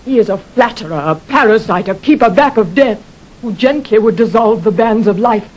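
A husky, sorrowful queen. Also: on the high side, expressive, normal speed, rough recording. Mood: despairing. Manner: solemn, declamatory verse speaking. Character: grieving, foreboding queen, regal and melancholy. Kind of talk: classical Shakespearean radio acting.

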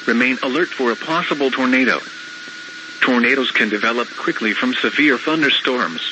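Synthetic male weather radio announcer, noisy. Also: mid-range voice. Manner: formal, measured, impersonal. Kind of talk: scripted weather bulletin, synthetic text-to-speech reading.

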